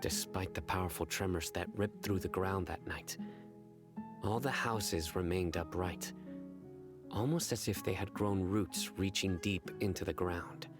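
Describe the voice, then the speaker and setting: An actor playing a serious, measured young male investigator in a video game, speaking formally and deliberately. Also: low-pitched, steady tone. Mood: solemn storytelling. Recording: plucked-string music behind the voice.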